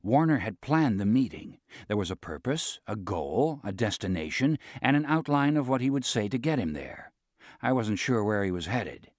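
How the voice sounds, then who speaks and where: A man's voice: on the low side, dry recording, clean studio sound. Wry male audiobook narrator. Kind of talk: scripted first-person narration.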